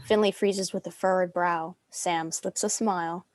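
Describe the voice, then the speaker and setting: Young woman, slightly low voice, scripted narration, screenplay directions, dry room-free sound. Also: steady tone, normal speed, no echo. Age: young adult.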